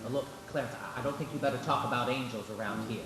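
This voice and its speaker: A man's voice: medium pitch, racing, lightly acted. A man playing a bewildered, desperate small-town family man in 1940s radio drama style, stammering and insistent. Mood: placating. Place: live theatre stage. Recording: roomy stage hall with music behind.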